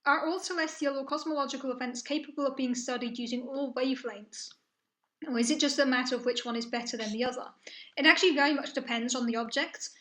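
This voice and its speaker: A woman's voice: high-pitched, steady tone, fast, fairly bright tone, reverberant. A young woman from the south of England, raised in Spain, with a mixed British-European accent, chatting casually as the host of a live science stream and answering viewer questions. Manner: chatty, reassuring, friendly explaining.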